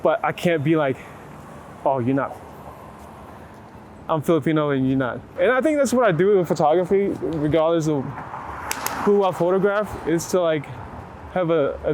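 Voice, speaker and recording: A man's voice: on the high side, lively intonation, darkish tone. A middle-aged man giving casual, reflective interview answers, often rewording his thoughts as he goes. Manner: halting, filler-heavy phrasing with frequent rewording. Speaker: photographer being interviewed. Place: outdoors in a city. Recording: outdoor city traffic noise. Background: urban ambience, vehicles.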